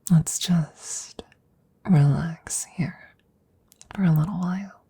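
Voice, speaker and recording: A woman playing a gentle, protective witch in an ASMR roleplay, speaking softly and tenderly in breathy whispers, close to the mic. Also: on the low side, heavily acted. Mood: tender, reassuring. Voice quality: soft, breathy, often whispering. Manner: tender, slow, reassuring. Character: gentle protective witch soothing her werewolf familiar. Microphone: close microphone.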